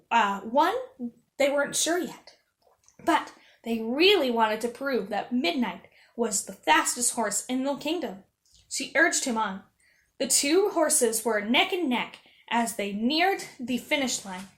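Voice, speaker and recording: A woman reading a children's story aloud as a storyteller, with animated, expressive delivery. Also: fairly high pitch, a little slow, echoey room. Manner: animated, acting out dialogue. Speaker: storyteller reading as a princess character.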